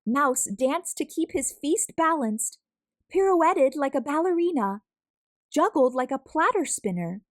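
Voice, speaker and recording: Very animated, slow-paced woman storyteller. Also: high voice, dry recording, clean studio sound. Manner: expressive, deliberate, drawn-out emphasis on words. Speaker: storyteller, read-aloud host. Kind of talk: children's picture book read-aloud.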